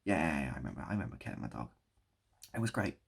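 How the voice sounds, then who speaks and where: A British man improvising a rambling story and chatting as a video host, mock-nostalgic and often repeating his phrases, with a husky, gravelly voice. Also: very deep voice, very animated, racing. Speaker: online video creator and host.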